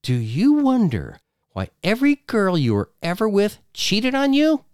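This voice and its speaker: A man's voice: sits high, wildly swooping pitch, measured pace, darkish tone, no room sound, clean studio sound, pitched above the performer's own voice, exaggerated voice. A man putting on a screeching, furious young wife. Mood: shrill, furious. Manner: screeching, jabbing accusations.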